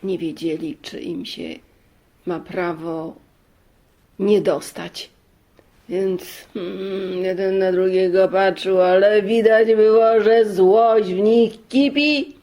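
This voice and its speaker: Female storyteller for children's fairy tales, very unhurried, mid-pitched, breaking sentences into short phrases. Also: fairly even intonation, warm tone. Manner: deliberate, pausing between short phrases. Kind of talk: children's fairy-tale narration.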